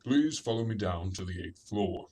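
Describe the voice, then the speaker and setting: A man's voice: lowish voice, animated, moderate pace, distant mic, natural voice. A man acting a stern, dutiful older doctor in a radio drama, formal and businesslike. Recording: clearly noisy recording.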